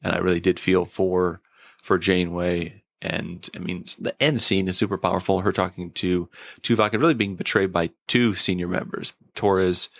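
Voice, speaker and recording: Man, deep gravelly voice, casual review talk, thoughtful, halting delivery, dry recording. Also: flat delivery, no echo.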